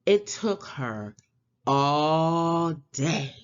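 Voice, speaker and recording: A woman reading a children's storybook aloud in an expressive, theatrical storytelling manner. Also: low voice, very slow, dull audio. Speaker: read-aloud presenter.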